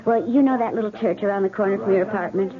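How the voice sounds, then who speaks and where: An actress playing a sociable, coaxing party hostess in an old-time radio drama, on a muffled vintage recording. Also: female voice, mid-range voice, fast talker, crowd noise, close to an everyday voice. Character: sociable, coaxing married woman hosting a party.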